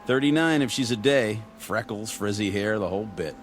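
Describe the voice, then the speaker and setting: A man's voice: fairly low pitch, normal speed, faint music behind, natural voice. An actor playing a brash, jittery space crewman in an audio drama, slangy and wisecracking. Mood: sardonic.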